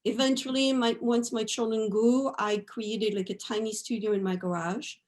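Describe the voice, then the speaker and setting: A woman's voice: on the high side, fairly even intonation, normal speed, darkish tone. A woman, a guest artist talking reflectively about her life, often adding "right?" and "you know". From France. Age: 50s. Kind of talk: reflective interview talk.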